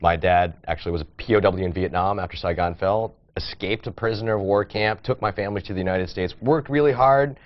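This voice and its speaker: A man's voice: deep voice, fairly quick, hardly any pauses. A man in his thirties, a comedian guest on a TV morning show, telling jokey stories about his life. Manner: storytelling, jokey. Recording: dull TV broadcast sound.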